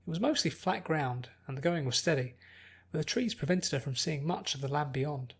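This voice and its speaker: Audiobook narrator reading fiction, kinda raspy, creaky voice. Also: medium pitch, lively intonation, a little fast. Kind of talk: scripted story narration.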